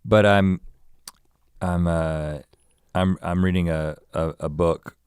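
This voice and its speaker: Man, forties, lowish voice, reflective, halting, dry close sound. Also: fairly even intonation, a little mellow, clean tone, dry recording. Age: 40s. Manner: reflective, musing, halting restarts. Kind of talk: casual chat.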